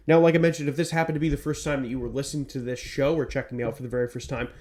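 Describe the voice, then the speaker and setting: A man's voice: mid-range voice, fairly even intonation, racing, a little mellow, slight background noise. A man hosting his own podcast, sounding stuffed up from a cold, moving from scripted narration into rambling talk full of ums. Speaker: podcast host. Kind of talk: scripted narration then casual chat.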